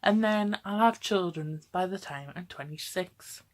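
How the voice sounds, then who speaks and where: A young woman in her twenties chatting straight to camera. Her voice sits low for a woman, and she rambles freely, tossing in quick "okay?" checks and little laughs as she goes. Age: 20s. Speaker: vlogger. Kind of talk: casual talk to camera.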